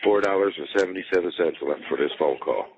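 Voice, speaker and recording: Man, lowish pitch, thin phone-line sound, casual slangy talk, profane, repetitive explaining. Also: fast. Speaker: jail inmate on a phone call. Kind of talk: casual personal phone chat. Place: jail phone. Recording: tinny jail phone line.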